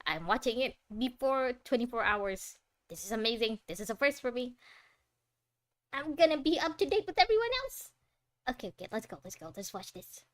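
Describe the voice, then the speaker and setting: A woman hosting a reaction video, chatting casually in an expressive, exclamatory way. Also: fairly high pitch, brisk, no echo. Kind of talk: casual excited live reaction.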